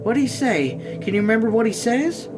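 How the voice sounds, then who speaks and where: A weary, anxious country mother of middle age, acted by a male reader, in a raised voice. She pleads earnestly in plain rural dialect, as in a novel read aloud, with music clearly playing behind. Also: extremely high pitch, expressive, a little fast, voice pushed up. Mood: anxious questioning.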